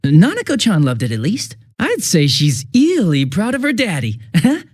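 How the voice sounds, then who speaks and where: An actor playing a bumbling, chatty young police detective in a video game dub, with an American accent and a sheepish, stammering, casual manner. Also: male voice, high-pitched, wildly swooping pitch, moderate pace, no echo, studio quality, exaggerated voice. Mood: jokey, upbeat.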